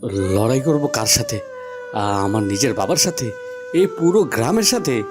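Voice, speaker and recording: A man's voice: medium pitch, expressive, unhurried, music in the background, natural voice. A man voicing an earnest, impassioned young lover, the son of a village headman, in a cartoon. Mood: torn. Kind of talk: cartoon voice acting.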